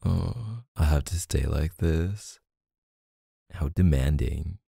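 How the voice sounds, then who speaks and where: Man voicing a playful doting boyfriend, ASMR roleplay, dark mellow soft voice, teasing murmurs, close dry sound. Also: no echo, exaggerated voice. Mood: tender, coaxing. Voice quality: low, gravelly, soft. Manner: teasing, murmuring, hesitant pauses and hums. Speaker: audio roleplay performer.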